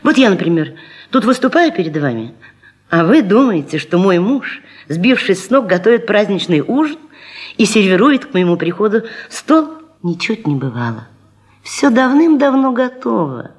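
A woman presenter with a husky voice, delivering a humorous, theatrical radio monologue with ironic, rhetorical emphasis. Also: mid-range voice, very animated, moderate pace. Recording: 1970s radio recording, faint music.

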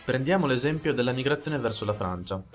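A man's voice: medium pitch, lively intonation, quick pace. A man co-hosting a radio program, reading scripted historical narration in a formal, informative way. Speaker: radio host. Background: music under the voice.

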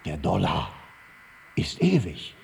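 A self-important prison priest in a radio drama. The voice sits low and swoops dramatically as he lectures. His delivery is emphatic and preachy, as if every line were doctrine. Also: wildly swooping pitch, slow, creaky, slight background noise, full character voice. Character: pompous male prison priest explaining God through money. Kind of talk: German radio drama acting.

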